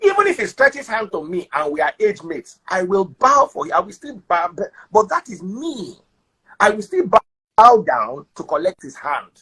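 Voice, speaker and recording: A Nigerian man hosts a talk show and gives his opinions emphatically, mixing in pidgin phrases. His pitch swings a lot and his voice is husky. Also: huge pitch swings, moderate pace, pushed and bright, rough recording.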